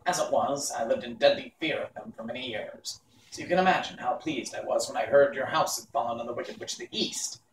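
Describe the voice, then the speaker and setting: A man's voice: medium pitch, a little fast, far from the mic, slight background noise, natural voice. Man playing a sheepish old humbug wizard, echoey. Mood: confessional storytelling.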